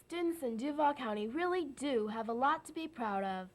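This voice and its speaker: Fairly high-voiced woman presenting. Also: expressive, normal speed. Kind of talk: scripted narration.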